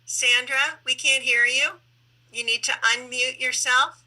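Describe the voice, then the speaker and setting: A woman's voice: high-pitched, fairly even intonation, measured pace, sharp tone, poor audio. A woman hosting a conversational panel interview over a video call, putting questions to guests. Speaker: interview host.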